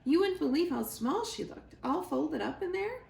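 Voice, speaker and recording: Lady doing a big friendly otter gal, casual chatter, highish voice, storybook, echoey room. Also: very animated, moderate pace, gravelly, darkish tone, a little hiss or hum, full character voice. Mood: chatty.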